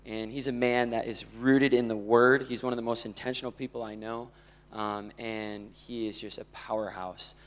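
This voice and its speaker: A man's voice: medium pitch, fairly even intonation, normal speed, a bit bright, slight background noise. A man introducing a speaker on stage, fond and earnest, with hesitant fillers.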